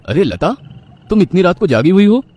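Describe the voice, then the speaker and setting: Man playing a smooth-talking young husband, slightly high voice. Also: sing-song, a little fast, mellow, slight background noise. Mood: evasive.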